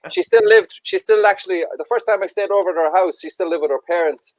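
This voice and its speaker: A man doing stand-up over a video call, telling a crude anecdote with self-mocking, deadpan asides. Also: sits high, fast talker, slight background noise, low-quality recording. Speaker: stand-up comedian.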